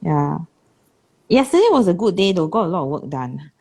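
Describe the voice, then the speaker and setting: A woman's voice: lowish voice, big pitch swings, normal speed, warm tone, husky. A woman with a Singaporean English accent and a slight sore throat, co-hosting a casual chat in an easygoing, reflective way. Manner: easygoing, reflective, Singlish particles. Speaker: podcast co-host.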